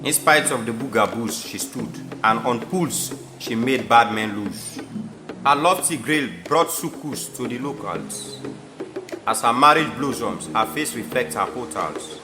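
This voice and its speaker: Young man narrating over music. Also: medium pitch. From Sierra Leone. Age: young adult. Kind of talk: scripted biographical narration for an audio drama.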